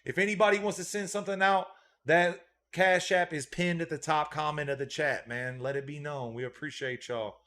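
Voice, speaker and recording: A man's voice: fairly high pitch, steady tone, moderate pace, pushed and bright. A man hosting a casual livestream as a dog breeder, laid-back, reading out and thanking viewer donations, often repeating a catchphrase.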